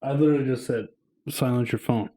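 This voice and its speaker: A man's voice: mid-range voice, steady tone, a little fast, warm tone, rough recording. A man co-hosting a casual podcast, firing back blunt, teasing comebacks at his friend. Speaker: podcast co-host.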